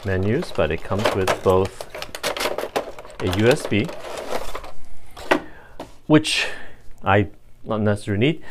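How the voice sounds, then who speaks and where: Low-voiced man demonstrating a product. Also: lively intonation, takes it slowly, long pauses, a little hiss or hum. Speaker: product reviewer. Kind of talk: casual hands-on demonstration, unscripted.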